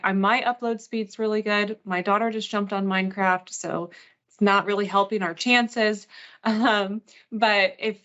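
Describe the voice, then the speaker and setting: A woman's voice: medium pitch, muffled recording. A woman co-hosting and moderating a live webinar in an informal, explanatory way.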